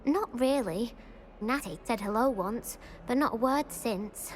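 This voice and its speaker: A woman's voice: a little high, unhurried, a little hiss or hum, lightly acted. An actress playing a self-pitying, indignant British schoolgirl in a video game, prim and plaintive in a dramatic way. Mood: pleading, huffy. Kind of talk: video game cutscene acting.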